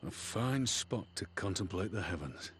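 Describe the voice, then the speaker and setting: A low male voice as a grave, honorable veteran general. He is solemn and formal, with archaic fantasy-game phrasing. Music sits behind him. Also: animated, moderate pace, a bit bright. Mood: solemn, reflective.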